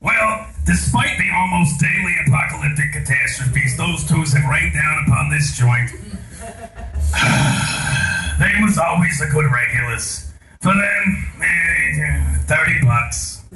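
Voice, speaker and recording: Man putting on a creaky, slow, salty old barmaid. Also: on the high side. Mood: sardonic. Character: salty, sarcastic old barkeep woman, Aunt Shirley. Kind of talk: live comedy radio drama acting. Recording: echoey stage, distant mic, music behind.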